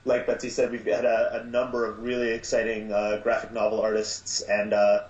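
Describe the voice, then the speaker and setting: A man being interviewed as producer and director of an audio drama, talking in a halting way with many fillers and restarts. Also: on the low side, completely flat delivery, darkish tone. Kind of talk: casual podcast interview. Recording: echoey, dull lo-fi sound.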